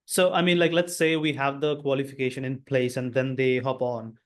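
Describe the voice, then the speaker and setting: A man's voice: medium pitch, level tone, fairly quick. A man, asking a sales coach questions on a video call, searching for his words. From India. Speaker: coaching client.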